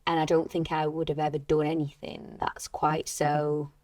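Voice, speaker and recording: A woman's voice: deep voice, monotone, moderate pace, a little mellow, no echo. A young woman, an actress, interviewing a colleague in casual, easygoing podcast chat.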